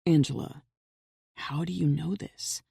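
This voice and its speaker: A steady, guarded man who talks in short, measured lines, as a female narrator puts him on. The voice is lowered and mellow. It is recorded close and dry, as in an audiobook. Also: vocal fry, breathy edge, dry recording, exaggerated voice. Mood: questioning. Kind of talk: audiobook character reading.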